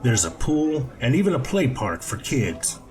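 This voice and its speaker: A man acts out a happy extrovert from a story, chatting with strangers at a bar. The manner is chummy and joking, at an ordinary male pitch. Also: sing-song, measured pace, darkish tone.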